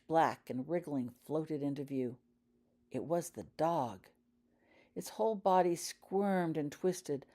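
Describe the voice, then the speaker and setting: A woman's voice: low voice, mellow. A woman reading a dramatic fantasy story aloud as a scripted audiobook narration. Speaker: author reading own fan fiction audiobook.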